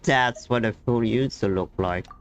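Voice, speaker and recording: A man's voice: mid-range voice, a little slow, dull audio. A man giving informal, off-the-cuff reaction commentary as he plays a video game. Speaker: gaming streamer. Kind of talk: casual reaction commentary over gameplay. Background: game music.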